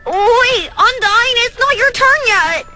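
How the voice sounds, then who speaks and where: A woman voicing a timid, fretful lizard scientist with a hesitant, fussy manner in an animated comic dub. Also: extremely high pitch, takes it slowly, soft background music, full character voice. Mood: flustered.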